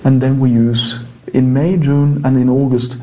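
A male priest with a Norwegian accent and a very mellow, relaxed voice. He talks in an interview, explaining in a conversational way and often stopping to rephrase. His pitch sits in an ordinary male range. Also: unhurried, rough recording. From Norway.

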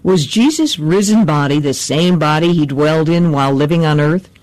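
A woman presenting a short scripted catechism segment in a measured, even reading. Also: deep voice.

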